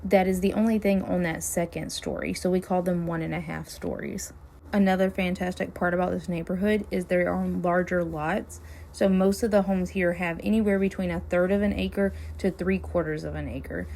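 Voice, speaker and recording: A woman realtor, chatting casually while driving, her voice hoarse and scratchy as she loses it. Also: on the low side, steady tone, normal speed, darkish tone. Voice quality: gravelly, hoarse. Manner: friendly, chatty, upbeat sales talk. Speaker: realtor hosting a neighborhood tour channel. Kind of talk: casual driving-tour vlog talk. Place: inside a car, driving.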